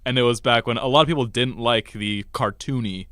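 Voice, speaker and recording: Young man, smooth clear voice, dry studio sound, casual college radio chat. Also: medium pitch, fairly quick, a bit bright, no echo. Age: young adult. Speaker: co-host of a college radio video game podcast.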